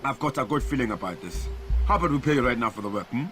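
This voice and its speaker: An actor playing a boastful, brash gangster in a video game, speaking in clipped phrases. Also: male voice, medium pitch, lively intonation, rapid-fire, fairly bright tone, soft background music, lightly acted. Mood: gleeful, scheming. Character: cocky, boastful male gangster big shot. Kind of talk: video game cutscene acting.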